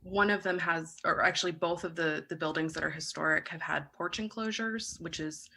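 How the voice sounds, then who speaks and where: A woman answering questions as a staff planner at a public meeting, explaining facts in a careful, measured way. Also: low voice, fairly even intonation, gravelly, sharp tone, a little hiss or hum.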